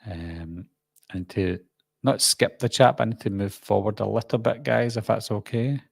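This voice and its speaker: A man's voice: lowish voice, normal speed, creaky, breathy edge, dry recording. A man with a Glasgow accent, a YouTube host rambling casually through viewer comments on a live stream, full of ums and restarts. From Scotland.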